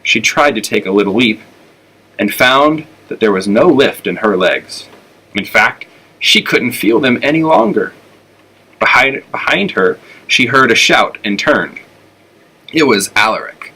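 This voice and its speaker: A man's voice: mid-range voice, animated, a little slow, sharp tone, slightly rough, low-quality recording. A man with an American accent narrating a children's storybook aloud. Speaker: elementary school teacher reading aloud.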